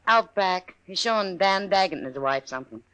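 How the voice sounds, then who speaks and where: A woman's voice: mid-range voice, animated, brisk, sharp tone, clean tone. An actress playing a wry, teasing American saloon woman in a western radio drama. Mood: amused, teasing.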